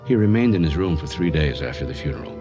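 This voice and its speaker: An actor playing a measured male therapist, narrating a case in an explanatory, clinical manner for radio drama. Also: rumbling low, animated, muffled recording. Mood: grave, clinical. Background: music.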